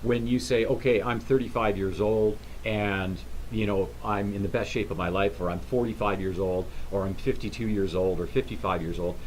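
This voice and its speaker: Male host, 50s, chatty and halting with restarts, faint hiss under the voice. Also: lowish voice, fairly even intonation, rough recording. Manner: rambling, halting with many restarts. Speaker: talk show host. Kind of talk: casual interview chat.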